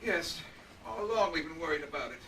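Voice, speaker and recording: Grieving old male laborer, played by an actor, humble and shaken, higher voice, noisy radio-play stage recording. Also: lively intonation, a little fast, sharp tone, far from the mic. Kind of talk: old-time radio drama acting.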